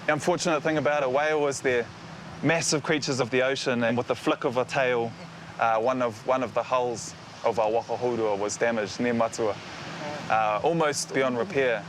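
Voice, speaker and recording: A man's voice: mid-range voice, fairly even intonation, moderate pace, a little hiss or hum. A man with a Māori New Zealand English accent, earnestly telling history with small hesitations. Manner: earnest storytelling, slight hesitations. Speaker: guest speaker sharing history.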